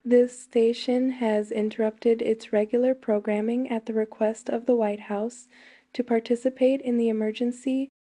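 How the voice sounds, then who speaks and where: A woman reading a scripted emergency announcement in a formal, measured way. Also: a little high, little pitch movement, dark tone.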